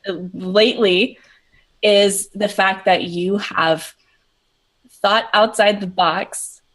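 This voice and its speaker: A woman from Peru, a coach for moms, speaking as an interview guest in an earnest, reflective way with many halting restarts. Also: medium pitch, fairly even intonation, a bit bright. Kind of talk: casual interview chat.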